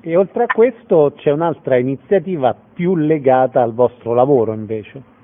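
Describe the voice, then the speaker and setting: A man's voice: mid-range voice, animated, normal speed. A man hosting a radio interview, putting questions to guests and introducing them. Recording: tinny, band-limited phone-like sound.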